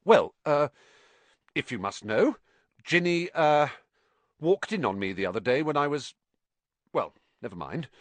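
A man's voice: fairly high pitch, big pitch swings, a little slow, long pauses, no room sound, voice pushed up. A man doing a prim, stammering teenage prefect. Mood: flustered. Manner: flustered, stammering. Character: prim, fussy older teenage boy prefect.

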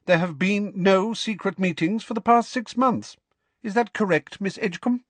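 A man voicing a stern, cold older female professor, speaking crisply. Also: high voice, normal speed, a bit bright, no echo, pitched above the performer's own voice. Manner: crisp, cutting.

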